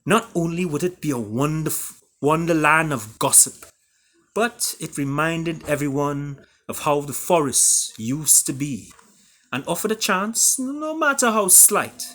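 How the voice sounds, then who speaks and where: A man with a Trinidadian accent, an author and storyteller speaking theatrically, with booming emphasis and hushed drops. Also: expressive, a little slow. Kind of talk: dramatic storybook reading and introduction.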